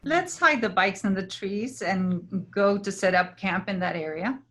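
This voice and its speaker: A woman's voice: mid-range voice, a little slow, a bit bright, lightly acted. Woman playing a kindly, firm troupe leader. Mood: brisk, gentle. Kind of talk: staged play reading.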